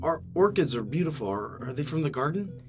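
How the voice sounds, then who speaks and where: A gentle, caring male nurse in an audio drama. He talks in a hesitant, soft manner under loud music. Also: a little high, big pitch swings, fairly quick. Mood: subdued, gentle.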